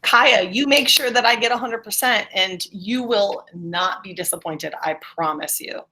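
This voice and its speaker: A woman's voice: medium pitch, a little slow, bright, forceful tone, slight background noise, poor audio. A woman teacher leading an interactive online class, encouraging students and checking their understanding with questions. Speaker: middle school English teacher.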